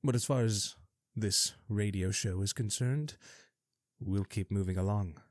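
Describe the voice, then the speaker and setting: A man's voice: on the low side, a little slow, no room sound. A man hosting a scripted fantasy radio show, delivering the news in a wry, theatrical way with dry asides.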